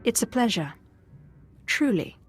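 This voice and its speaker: An actress playing a poised, confident Sith in a video game, speaking with cool, deliberate assurance. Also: female voice, on the high side, sing-song, slightly rough, faint music behind, heavily acted. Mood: coolly confident. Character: poised, confident young female Sith warrior-mystic.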